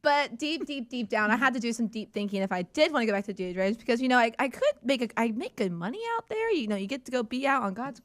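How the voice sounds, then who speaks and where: Playful young woman with a high voice. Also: very animated, fast talker, hardly any pauses, fairly bright tone. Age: young adult. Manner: playful, laughs often. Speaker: intern. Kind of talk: casual chat. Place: radio studio.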